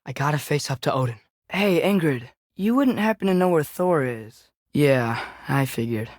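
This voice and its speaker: A man's voice: a little high, animated, moderate pace, dry recording, clean studio sound, natural voice. A young male actor playing an earnest, restless teenage boy adventurer with an American accent in a video game. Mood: resolved, uneasy. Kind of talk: video game character dialogue.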